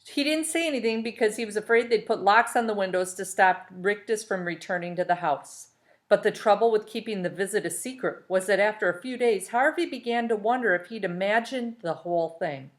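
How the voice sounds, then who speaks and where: A woman with a medium-pitched voice reads aloud like a teacher with a class storybook. Her delivery is expressive narration.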